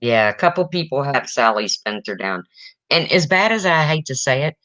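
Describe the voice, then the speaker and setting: A woman from the US Midwest with a deep, raspy voice, chatting bluntly as a podcast co-host. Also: very animated, moderate pace, fairly bright tone, dry recording, poor audio. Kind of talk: casual chat.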